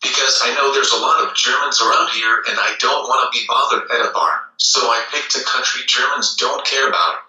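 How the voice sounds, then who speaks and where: A man's voice: mid-range voice, fairly quick, hardly any pauses, bright, forceful tone. A man acting a defiant, insistent American in a film audition scene. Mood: explaining firmly. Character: defiant American man covering for his companion. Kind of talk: acted film audition dialogue. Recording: echoey room, far from microphone.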